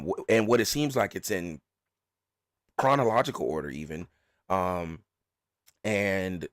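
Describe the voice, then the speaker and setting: Deep-voiced man streaming casually. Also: no room sound, studio quality. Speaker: streamer and reaction host. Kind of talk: casual live stream chat.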